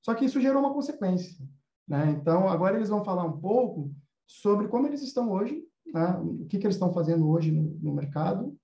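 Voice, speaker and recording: A man's voice: high-pitched, very animated, quick pace, creaky, warm tone. A Brazilian man teaching and hosting in a casual, explanatory way. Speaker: host, animation course teacher. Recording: roomy, slightly dull sound.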